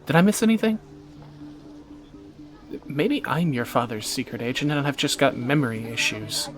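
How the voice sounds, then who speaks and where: A man's voice: medium pitch, lightly acted. A man acting a wry, bantering young thief. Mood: incredulous, worried. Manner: teasing, sardonic, conversational banter. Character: young male thief, bantering, wry, anxious but affectionate captor. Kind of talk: scripted romantic audio roleplay, spoken to the listener.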